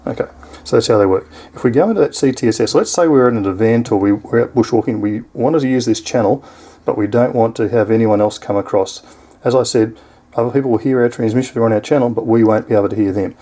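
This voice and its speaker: A man's voice: mid-range voice, quick pace, dark tone. A man with an Australian accent giving a casual, unscripted product demonstration in an easygoing, explanatory way. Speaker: product reviewer.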